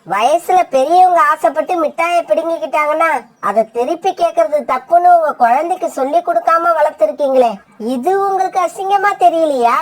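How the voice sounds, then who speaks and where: A woman's voice: high voice, a little fast, natural voice. Lady doing a snooty mean crow woman in a kids cartoon, scornful, talks down at everyone. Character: haughty bullying female crow, shameless troublemaker. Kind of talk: children's cartoon story dubbing.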